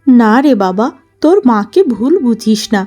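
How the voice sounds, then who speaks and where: A woman voicing a long-suffering, gentle elder wife in a melodramatic cartoon, plaintive and patient. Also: fairly high pitch, lively intonation, normal speed, faint music behind. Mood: tender, soothing. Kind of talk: melodramatic cartoon story acting.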